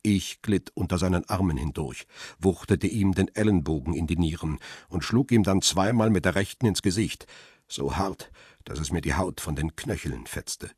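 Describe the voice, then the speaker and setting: An actor playing a grim male photographer who narrates a crime radio drama in a measured, terse, husky voice. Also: low-pitched, darkish tone, dry recording, studio quality. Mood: grim, tense. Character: middle-aged male photographer Peter Lime, grim first-person narrator.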